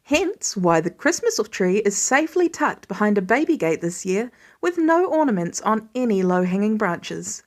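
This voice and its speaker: A woman reading fan fiction aloud as an expressive audiobook-style narrator. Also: medium pitch, normal speed. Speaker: podfic reader.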